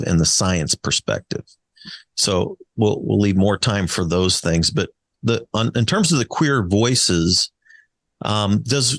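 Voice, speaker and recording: Gravelly-voiced man in his sixties hosting. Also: fairly low pitch, a bit bright, no echo. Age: 60s. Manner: easygoing, asks open questions. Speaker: podcast host. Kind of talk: conversational interview.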